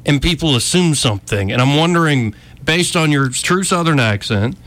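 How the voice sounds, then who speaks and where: A man's voice: a little high, expressive, moderate pace, a bit bright, poor audio. A man hosting a casual podcast chat, prodding his guests with eager questions. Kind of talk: casual talk, asking questions.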